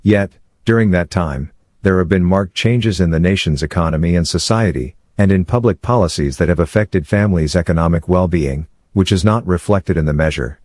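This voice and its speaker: A man reading formal academic passages aloud in a careful, measured manner, as in exam practice. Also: sits low, a little mellow, no echo, clean studio sound.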